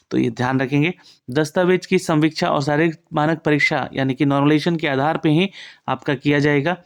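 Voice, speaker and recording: A man giving an informal, instructive walk-through of an official notice, often reminding listeners what to note. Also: a little high. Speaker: presenter of a job exam information channel.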